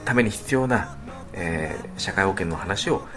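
A man with a fairly deep voice talks on a radio show. His intonation swings widely as he explains things politely, often pausing on drawn-out hesitations. Soft music plays behind him. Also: lively intonation. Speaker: band leader, guest talker on radio show. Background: background music.

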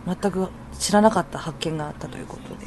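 A woman's voice: fairly low pitch, quick pace, darkish tone, a little hiss or hum. A woman hosting a casual radio talk, chatting with a co-host. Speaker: radio show host, navigator. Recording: faint music behind the talk. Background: faint background music.

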